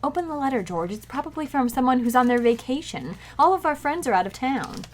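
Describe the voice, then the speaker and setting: A woman's voice: sits high, animated, fairly quick, slight background noise. An actress playing a playful, scatterbrained young housewife who teases her husband, in an old-time radio comedy. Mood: teasing. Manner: playful teasing, coy innocent asides.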